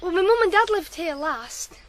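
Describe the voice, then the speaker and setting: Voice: extremely high pitch, animated, normal speed. A performer playing a proud, stubborn, wounded young farm boy in a radio drama. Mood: guarded. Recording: 1980s radio play, some background noise.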